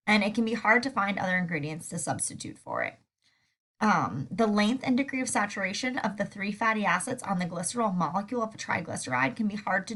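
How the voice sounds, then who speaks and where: Woman lecturer with a cold, stuffy and sore-throated, bright tone, easygoing with frequent ums. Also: mid-range voice. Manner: relaxed, with many fillers. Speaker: food science teacher. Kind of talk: recorded lecture over slides.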